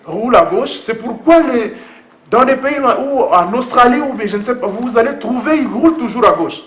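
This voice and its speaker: A man preaching as a pastor in short, repetitive, halting phrases, pausing for an interpreter and putting questions to the congregation. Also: very high-pitched, expressive, a bit bright. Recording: roomy, echoing church sound.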